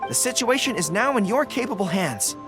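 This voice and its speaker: An earnest young general. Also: male voice, high voice, big pitch swings, brisk, over music. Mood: earnest, formal. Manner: formal, courteous and earnest.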